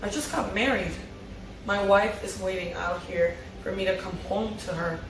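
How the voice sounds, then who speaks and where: A woman's voice: medium pitch, moderate pace, noisy background, natural voice. A woman reading the part of an earnest, guilt-ridden younger brother in a drama table read. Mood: pleading. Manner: earnest, pleading. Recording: roomy, distant mic, music underneath.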